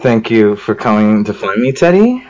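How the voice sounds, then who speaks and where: A man voicing a gentle, polite young heroine in a visual novel, in a stilted, halting way. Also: on the low side, big pitch swings, muffled recording. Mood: grateful.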